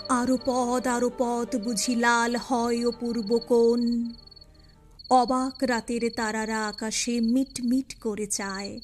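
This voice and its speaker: A woman with a high voice gives a slow, dramatic poetry recitation. She shapes each phrase expressively and with emphasis, stretching words out, while music plays behind her. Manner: expressive, measured, emphatic delivery with drawn-out phrases. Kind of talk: dramatic Bengali poem recitation.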